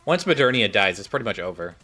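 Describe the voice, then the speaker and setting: A man's voice: on the low side, big pitch swings, quick pace, sharp tone. A man giving casual, off-the-cuff commentary on a game, rambling and thinking aloud as he plays. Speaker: gaming video creator.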